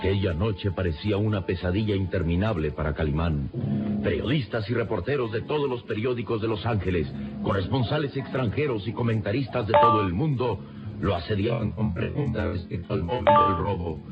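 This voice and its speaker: A man with a fairly low voice narrates a radio melodrama solemnly and theatrically. The recording is dull and lo-fi, with music clearly behind him. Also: fairly quick. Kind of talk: dramatic scripted radio serial narration.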